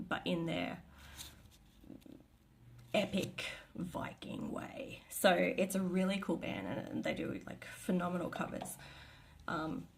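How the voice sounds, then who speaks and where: Gravelly, gushing woman chatting about music. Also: on the low side, a little mellow, slight background noise. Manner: gushing, chatty, rambling with fillers. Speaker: vlogger. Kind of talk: casual chat.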